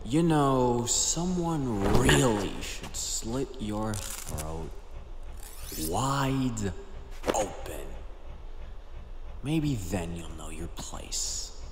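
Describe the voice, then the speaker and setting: A man acting a cold, calculating crime syndicate operative with a clipped, measured delivery in an audio drama. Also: lowish voice, expressive, roomy sound, big-room sound, noisy background, exaggerated voice. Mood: menacing.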